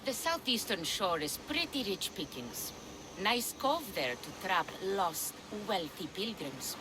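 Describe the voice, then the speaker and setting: A woman's voice: medium pitch, very animated, slow pace, background noise. An actress playing a blunt, sardonic, foul-mouthed female pirate ship captain in a video game cutscene. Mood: roguish, candid.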